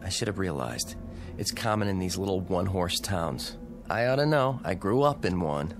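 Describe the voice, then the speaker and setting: An actor playing a level-headed husband in a vintage radio drama, talking in a calm, dry, reassuring way. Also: male voice, low voice, normal speed, over music. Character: level-headed husband exploring an eerie empty town.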